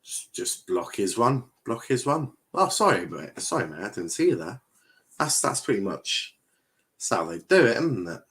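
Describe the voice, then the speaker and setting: A man's voice: medium pitch, big pitch swings, normal speed, fairly bright tone. A young English man streaming a match watchalong, chatting casually, rambling and swearing as he reacts to the play. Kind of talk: casual live match commentary.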